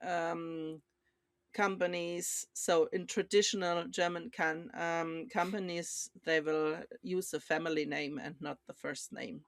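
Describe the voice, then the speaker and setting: A woman's voice: fairly low pitch, steady tone, slow, dry recording. A woman co-hosting a business culture talk, speaking hesitantly with frequent fillers. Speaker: co-host. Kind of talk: conversational podcast discussion.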